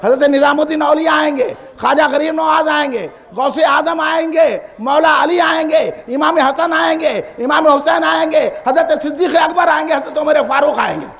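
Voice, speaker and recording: High-pitched, fiery male Deccani preacher in echoing hall. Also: hardly any pauses, a bit bright. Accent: Hyderabadi Deccani. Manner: combative, emphatic, repetitive, with rhetorical questions. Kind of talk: fiery polemical sermon. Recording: long hall reverb on amplified speech.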